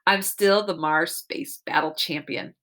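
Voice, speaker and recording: A woman voicing an excitable, competitive, space-mad boy in a children's storybook, eager and exclamatory. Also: low voice, measured pace. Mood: wry.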